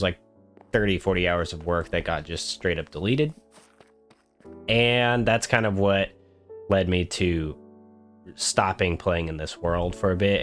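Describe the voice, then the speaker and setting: A man hosting his own gaming video, casually narrating while he builds, his voice congested from a cold. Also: fairly low pitch. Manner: easygoing, rambling, talks while building. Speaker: gaming channel host. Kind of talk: casual gameplay commentary.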